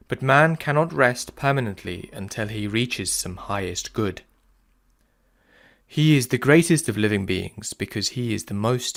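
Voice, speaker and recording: A man with a fairly low voice and a strong gravelly creak reads philosophical prose aloud. The delivery is solemn and measured, with declamatory weight placed on key words. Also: fairly bright tone. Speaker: reader. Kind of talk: solemn scripted reading of philosophical writings.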